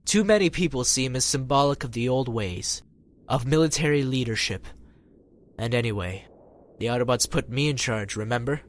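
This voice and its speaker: A man's voice: mid-range voice, moderate pace, lightly acted. A man playing an earnest, idealistic and stubborn robot leader in audio-drama dialogue, speaking insistently.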